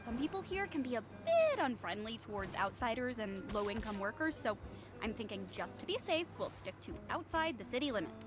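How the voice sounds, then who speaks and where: A woman's voice: on the high side, big pitch swings, fairly quick, music in the background. A woman voicing a chipper, slightly anxious and prim female robot driver with dry sarcasm in a video game cutscene. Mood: upbeat, cautious.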